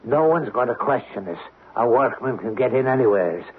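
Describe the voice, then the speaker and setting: A man's voice: mid-range voice, expressive, moderate pace, lightly acted. Man playing a bossy old-radio crook father. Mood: exasperated, bossy. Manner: folksy, wry, bossy. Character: gruff fatherly veteran crook, exasperated with his son. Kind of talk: old-time radio drama acting. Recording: thin narrow-band old radio broadcast, faint hiss.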